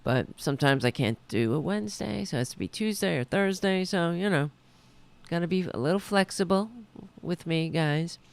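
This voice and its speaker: A woman hosting her own liberal talk show, chatting loosely and correcting herself as she goes, a little hoarse. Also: fairly low pitch, expressive, moderate pace. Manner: rambling, self-correcting, chatty. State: losing her voice. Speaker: podcast host. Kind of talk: casual political talk show.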